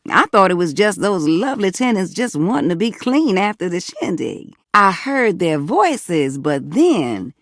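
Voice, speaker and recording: Sassy, swooping American flirt. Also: female voice, lowish voice, no room sound, clean studio sound, full character voice. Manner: folksy, sassy, teasing with pet names. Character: sassy, flirtatious female household object, hot and confiding. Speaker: voice actor in a dating simulator game. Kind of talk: flirty character dialogue, game acting.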